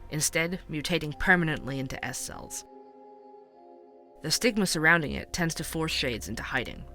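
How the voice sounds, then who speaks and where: A man's voice: fairly high pitch, bright, forceful tone. An actor playing a wry, curious male podcast host, narrating an investigative story in a conversational way. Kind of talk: scripted narration, fiction podcast. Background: music.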